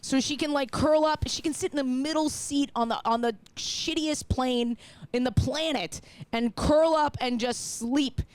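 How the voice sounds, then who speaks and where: A woman in her thirties with a somewhat high voice. She banters casually as a podcast co-host, teasing her friends in a playful, mock-serious way. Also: measured pace.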